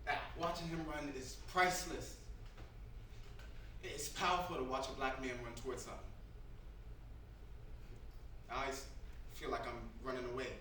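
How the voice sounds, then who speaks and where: A man's voice: medium pitch, fairly even intonation, a little fast, bright, forceful tone, close to an everyday voice. A man acting a restless younger brother in a staged reading, with slangy, hesitant, playful delivery. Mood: reflective, teasing. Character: Franklin, restless younger brother just out of jail. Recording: very echoey room, distant mic, noisy.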